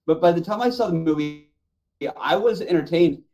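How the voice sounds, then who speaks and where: A man's voice: on the high side, normal speed, darkish tone, highs cut off. Middle-aged man in casual, enthusiastic chat. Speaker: podcast panelist. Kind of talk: casual chat about movies.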